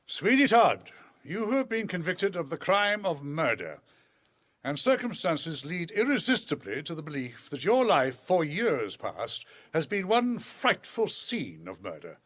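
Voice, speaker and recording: A man plays a stern old-world judge in a radio drama. His voice sits fairly high and rises and falls dramatically, formal, grave and declamatory. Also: sing-song, slow, fairly bright tone, exaggerated voice. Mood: solemn, condemning. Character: solemn, stern period judge passing sentence. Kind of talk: formal period audio drama acting.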